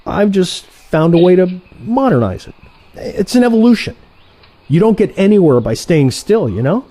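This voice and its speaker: A man playing a cocky, easily irritated assassin in a comic audio play, complaining in an animated way. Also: sits high, normal speed. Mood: defensive, casual.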